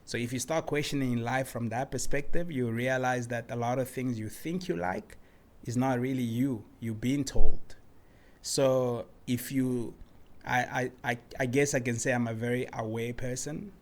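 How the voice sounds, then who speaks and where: Young adult man, mid-pitched, reflective and easygoing interview guest. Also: moderate pace. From Democratic Republic of the Congo, raised in Cape Town. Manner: relaxed and reflective, often adds "man" and "you know". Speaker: guest artist. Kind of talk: casual interview conversation.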